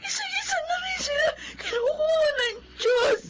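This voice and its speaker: A woman's voice: very high voice, expressive, unhurried, shouting. An actress playing a grieving, tender orphaned young woman in a Tagalog radio drama, speaking tearfully. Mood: tearful anguish. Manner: tearful, emotional delivery.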